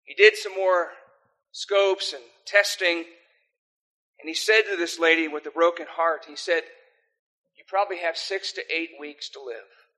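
Older male pastor, American accent, slightly high and bright voice, earnest sermon delivery. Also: steady tone, a little slow, low-quality recording. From South Africa. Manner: earnest, pleading in prayer. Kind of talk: sermon with opening prayer.

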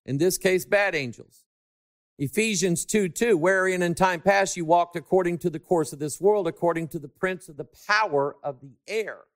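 High-voiced, emphatic male preacher. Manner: emphatic, swings between shouting and hushed asides; stretches key words for emphasis. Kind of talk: sermon.

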